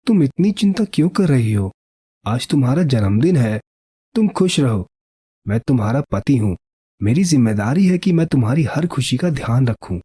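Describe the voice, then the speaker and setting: A man plays a poor young village painter in a cartoon tale, earnest and devoted. His voice is mellow and a little husky at a middle pitch, recorded dry. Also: sing-song, normal speed, dry recording. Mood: reassuring.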